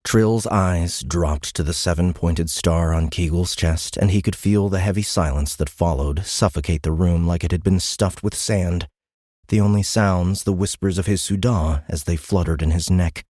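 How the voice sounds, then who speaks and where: A man with a very low voice reads dramatic fiction as an audiobook narrator. The recording is dry and close. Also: normal speed, a little mellow, dry recording.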